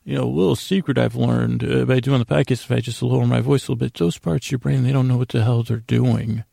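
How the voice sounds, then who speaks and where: Male bedtime podcast host, heavy vocal fry, halting and self-deprecating, wandering monologue, close dry sound. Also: mid-range voice, fairly even intonation, mellow, no room sound. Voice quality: gravelly, creaky. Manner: meandering, halting, stammering with repeated words.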